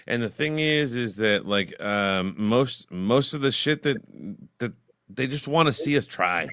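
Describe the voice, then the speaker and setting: A man's voice: lively intonation, measured pace, a crowd in the background. A man hosting a livestream in casual, rambling chat, full of crude jokes and filler words. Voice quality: baritone. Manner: rambling, crude joking banter. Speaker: livestream host.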